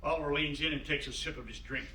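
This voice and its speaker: A man's voice: on the high side, quick pace, pushed and bright. A man reading scripted narration and stage directions for a play. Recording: roomy, distant mic, audible noise.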